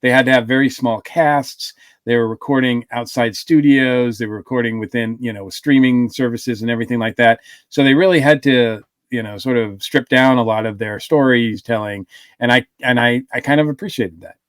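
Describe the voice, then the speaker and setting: A man's voice: mid-range voice, fairly even intonation, normal speed, dry recording. A man co-hosting a casual podcast review, rambling with lots of fillers and restarts. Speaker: podcast co-host.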